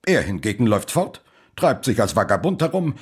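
An actor playing a cold, severe and haughty stepfather in a German radio drama, with a husky, stiff and disdainful delivery. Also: fairly low pitch, big pitch swings, a little fast, heavily acted. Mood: cold, contemptuous.

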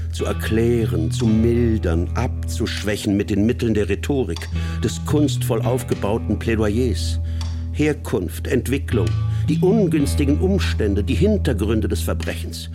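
An actor narrating a radio play as a grave literary storyteller, measured, solemn and theatrical. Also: male voice, lowish voice. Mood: rhetorical. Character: grave, literary male narrator of a criminal's life.

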